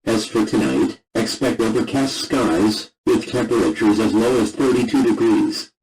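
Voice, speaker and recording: A man's voice: fairly low pitch, moderate pace, darkish tone, poor audio. Man reading scripted radio announcements. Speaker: radio announcer and emergency alert reader.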